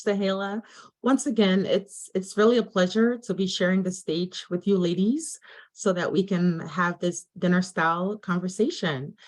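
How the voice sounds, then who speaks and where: A woman moderating a virtual panel, with polite, formal and measured phrasing. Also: medium pitch, low-quality recording. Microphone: video call.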